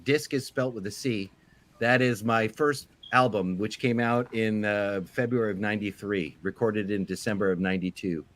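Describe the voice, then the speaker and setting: Halting guest musician, man. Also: lowish voice. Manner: hesitant, halting with fillers. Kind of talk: casual chat.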